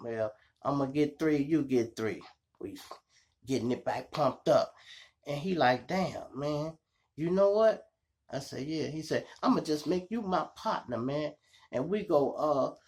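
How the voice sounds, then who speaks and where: A man with a Chicago accent and a gravelly voice, hosting a show and telling street stories in slangy, animated style, acting out the dialogue. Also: on the high side. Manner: animated, slangy, addressing listeners as y'all. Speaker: online show host and storyteller. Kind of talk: casual street storytelling with acted-out dialogue.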